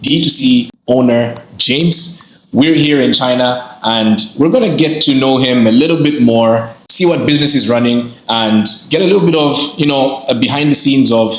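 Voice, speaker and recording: A man's voice: mid-range voice, moderate pace. A man hosting a casual interview, encouraging his guest with frequent affirming backchannels. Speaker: interview host. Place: office. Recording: echoey office room.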